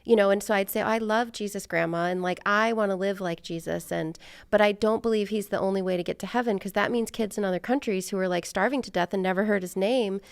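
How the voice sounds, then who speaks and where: A woman's voice: mid-range voice, quick pace, gravelly, clear, resonant tone, no echo, clean studio sound. A middle-aged American woman hosting a podcast in casual, reflective, rambling and self-deprecating conversation. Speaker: podcast host.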